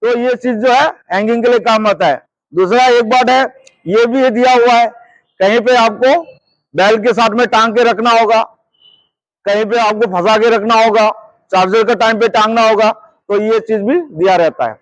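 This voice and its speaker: A man's voice: high voice, fairly even intonation, moderate pace, no echo, muffled recording. A man teaching as a security trainer, repeating points and often checking that listeners follow. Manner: repetitive, checks understanding often. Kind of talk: hands-on instructional lesson.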